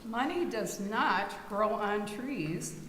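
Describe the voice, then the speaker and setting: A woman's voice: mid-range voice, lively intonation, slow pace, a bit bright. A woman reciting short sayings for a readers theater performance. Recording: echoey church hall, faint noise.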